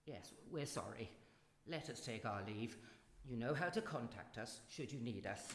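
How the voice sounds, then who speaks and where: An actor playing a brisk, bossy, proper older lady with emphatic delivery in a live radio drama. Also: medium pitch, expressive, close to an everyday voice. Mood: brisk, polite. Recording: echoey hall, faint noise, live stage.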